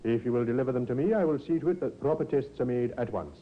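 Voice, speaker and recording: A man's voice: mid-range voice, fast talker, a little hiss or hum, close to an everyday voice. An actor, a man, playing a cautious, formal official in a 1950s radio drama. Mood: businesslike. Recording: muffled old radio recording.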